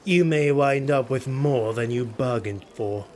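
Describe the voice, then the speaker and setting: A man voicing a proud, cruel, sardonic dark elf highborn in a fantasy audiobook, with a slow muttering delivery. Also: slight background noise, lightly acted. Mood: commanding.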